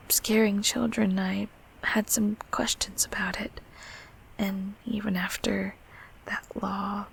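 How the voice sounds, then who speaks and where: A woman voicing a gentle, protective childhood closet monster in a comfort audio roleplay, speaking haltingly in a breathy, raspy whisper. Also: on the high side, a little hiss or hum, rough recording. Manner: halting, soothing, softly spoken.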